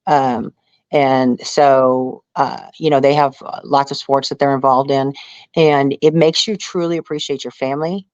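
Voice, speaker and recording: A woman's voice: a bass voice, flat delivery, a little slow, darkish tone, dry recording, dull audio. A middle-aged American woman talking as an interview guest in a casual, earnest, rambling way with plenty of "you know". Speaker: interview guest, outdoor survival show contestant and angler.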